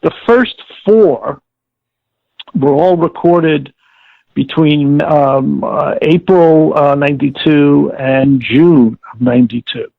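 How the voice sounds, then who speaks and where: An older man being interviewed, recalling past work in a halting, deliberate, wordy way and often correcting himself. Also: a little high, creaky, no echo. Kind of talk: reminiscing interview. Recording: tinny narrowband call, like old radio.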